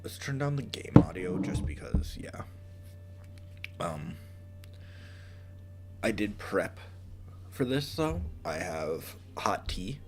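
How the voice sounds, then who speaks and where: A man with a stuffy, congested voice from a cold, chatting casually on a gaming stream, halting and apologetic with many ums. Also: lowish voice, big pitch swings, gravelly, background noise.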